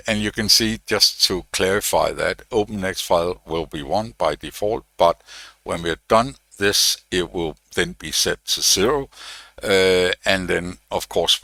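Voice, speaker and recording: A man giving an unscripted technical walkthrough as a tutorial presenter, thinking aloud in a halting way. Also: low-pitched, creaky, pushed and bright, dry recording.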